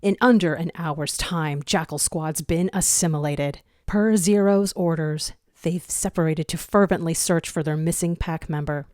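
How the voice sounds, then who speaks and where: A woman's voice: sits low, moderate pace, hardly any pauses, darkish tone, no room sound, clean studio sound. A woman reading a dramatic scripted story as its narrator. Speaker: narrator of a fan fiction audio reading.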